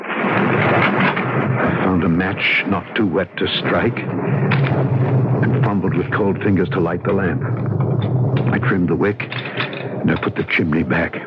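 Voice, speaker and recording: A man's voice: low-pitched, takes it slowly, long pauses. An actor playing a frightened, desperate husband who narrates his own horror story in a dramatic radio-drama style. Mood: tense narration. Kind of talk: dramatic first-person radio drama acting. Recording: dull, noisy old radio recording.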